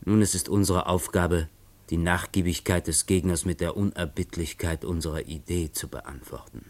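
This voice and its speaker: An actor playing a cold, commanding revolutionary leader giving orders in a radio play. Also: male voice, low voice, fairly even intonation, a little mellow. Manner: measured, authoritative, cold.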